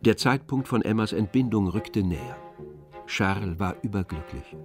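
A man's voice: on the low side, moderate pace, music in the background. A man reading scripted literary narration for an audio drama.

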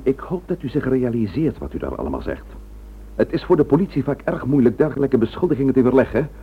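A man playing a measured, formal and firm senior police commissioner in a radio drama. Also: mid-range voice, breathy edge. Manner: formal, deliberate, authoritative. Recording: tinny, band-limited old radio recording.

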